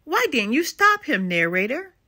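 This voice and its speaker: A woman's voice: high-pitched, very animated, normal speed, bright, forceful tone, breathy edge, heavily acted. A woman voicing a bossy, indignant little girl in a children's storybook, demanding and exclamatory. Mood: scolding. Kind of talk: children's storybook read-aloud.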